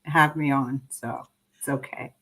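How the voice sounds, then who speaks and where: A woman's voice: a bass voice, steady tone, unhurried, sharp tone, rough recording. A woman from Trinidad, answering interview questions in an earnest, reflective, conversational way. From Trinidad and Tobago. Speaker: interview guest, poet and filmmaker.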